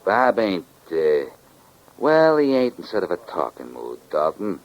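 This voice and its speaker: Very deep-voiced gangster stooge. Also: male voice, huge pitch swings, unhurried, muffled recording, heavily acted. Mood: threatening. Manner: slangy, ungrammatical tough-guy drawl. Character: slow-talking, menacing gangster stooge, suspicious and tough. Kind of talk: 1940s radio crime drama acting. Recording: vintage 1940s radio recording.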